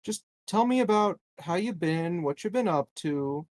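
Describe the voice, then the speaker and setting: A man's voice: sits high, lively intonation, unhurried, voice pushed up. A man playing an overly friendly good-cop interrogator in a tabletop game, coaxing in a chummy way.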